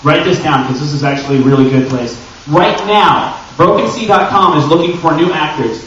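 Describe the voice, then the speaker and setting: Echoey, higher-voiced man presenting a panel. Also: fairly quick. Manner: animated, joking, acts out little imagined dialogues. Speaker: convention panel presenter and amateur voice actor. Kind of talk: informal panel talk to a live audience. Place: indoor convention panel room. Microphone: camera microphone at a distance. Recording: echoey room, distant mic, dull noisy audio.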